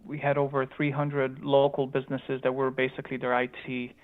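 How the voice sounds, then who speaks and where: Male interview guest on a tinny phone line, mid-pitched, light Romanian-Chicago accent, halting conversational delivery. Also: completely flat delivery. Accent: slight Romanian mixed with Chicago. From Chicago, United States, born in Romania. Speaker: guest, tech company founder.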